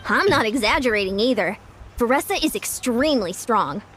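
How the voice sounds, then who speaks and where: A woman's voice: a little high, expressive, normal speed, fairly bright tone, soft background music. A woman voicing an upbeat, encouraging young fitness coach in a video game, confident and instructive.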